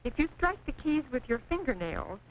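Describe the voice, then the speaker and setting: An American woman reading scripted 1940s training film narration in a precise, measured, instructive manner. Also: sits high, very animated. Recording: dull, noisy 1940s film soundtrack.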